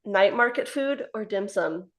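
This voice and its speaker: A woman's voice: mid-range voice, animated. Friendly woman interviewer on a video call. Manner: friendly, laughs often, asks rapid-fire questions. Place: home office with piano. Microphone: laptop webcam on video call.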